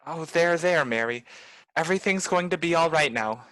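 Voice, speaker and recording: A woman's voice: low voice, animated, moderate pace, a bit bright, dry recording, low-quality recording. A woman playing a devoted, earnest young man in a 1940s-style radio play. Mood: soothing.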